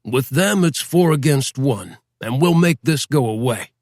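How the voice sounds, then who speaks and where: A man's voice: mid-range voice, animated, a little slow, darkish tone, no echo, studio quality. A man voicing an anxious small-time gangster who rambles on the phone. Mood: worried. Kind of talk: audiobook character voice.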